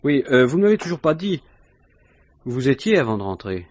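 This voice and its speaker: A coolly insistent police inspector in an old French radio mystery. His voice is husky and moves up and down a great deal as he probes with dry, suspicious understatement. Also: medium pitch, sing-song, brisk, no echo. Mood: accusing.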